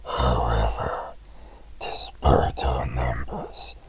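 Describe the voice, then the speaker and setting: A man voicing a menacing, calculating monstrous horde soldier in a deep, raspy growl, speaking slowly in a scripted drama. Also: fairly even intonation, in a whisper, exaggerated voice. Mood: cold, scheming. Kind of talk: scripted audio drama dialogue.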